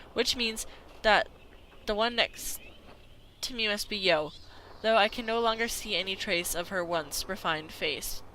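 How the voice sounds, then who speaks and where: Female gaming host, bright forward tone, halting read-aloud delivery of visual novel text, slightly noisy amateur recording. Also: mid-range voice. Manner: halting read-aloud with stumbles and self-corrections.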